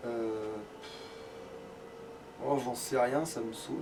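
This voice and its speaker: A man's voice: medium pitch, fairly even intonation, takes it slowly. A man chatting informally as he plays a video game, swearing freely and thinking aloud. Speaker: let's play gamer playing the game. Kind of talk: casual gaming chat, commentary while playing. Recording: distant mic with faint background noise.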